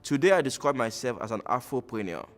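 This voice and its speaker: A Nigerian man in his thirties, speaking reflectively with frequent pauses in a documentary interview. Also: mid-range voice, lively intonation. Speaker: alumnus interviewee.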